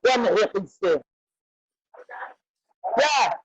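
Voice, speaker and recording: A man with a Somali accent and a very high voice talks loudly into a phone on a live stream. His English is broken and halting, he repeats words, and he keeps switching into Somali. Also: very animated, slightly rough, dry recording, poor audio. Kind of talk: casual live-stream chat.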